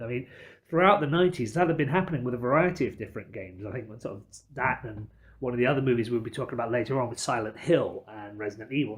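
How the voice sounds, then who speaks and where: A man hosting a podcast discussion of films and games, talking in a wordy, analytical way. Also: mid-range voice. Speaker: podcast host. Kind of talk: film and game discussion.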